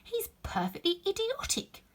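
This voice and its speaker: A woman's voice: very high voice, wildly swooping pitch, a little slow, pitched above the performer's own voice, heavily acted. A woman voicing a polite, curious young girl in a storybook who is easily exasperated, earnest and questioning.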